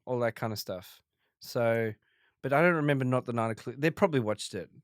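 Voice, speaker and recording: A man in his forties with a faint South African accent under an Australian one, telling stories in a relaxed interview, full of restarts and fillers. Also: mid-range voice, fairly quick, dry recording, clean studio sound. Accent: faint South African, mostly Australian. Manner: rambling, many restarts and fillers. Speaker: guest comedian. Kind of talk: casual interview chat.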